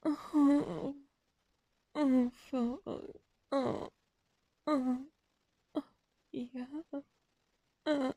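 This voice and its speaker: A woman's voice: high voice, steady tone, drawn-out words, pauses often, dark tone, no echo. A woman in an intimate erotic audio roleplay, breathless and aroused, whispering between moans.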